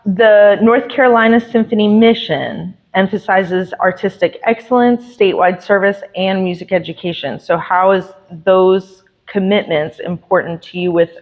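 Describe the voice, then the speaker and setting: A woman's voice: mid-range voice, fairly even intonation, moderate pace, hardly any pauses. A woman radio interviewer putting a prepared question carefully, as if reading it out. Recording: thin, phone-like band-limited sound.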